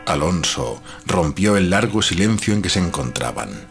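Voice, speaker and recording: A man narrating a gothic horror tale as storyteller, with a grave, deliberate, literary delivery and a husky voice. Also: deep voice, big pitch swings, soft background music.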